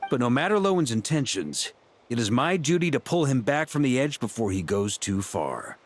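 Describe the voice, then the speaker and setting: A man's voice: medium pitch, big pitch swings, moderate pace, a little hiss or hum, natural voice. An actor playing a dutiful, composed male knight commander in a video game, speaking formally and earnestly. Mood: resolute.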